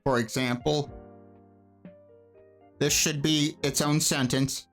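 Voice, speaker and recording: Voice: mid-range voice, steady tone, slow pace, a bit bright, soft background music. A let's-play host reading game text aloud and commenting on it with wry, playful asides. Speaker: host playing and reading aloud a visual novel.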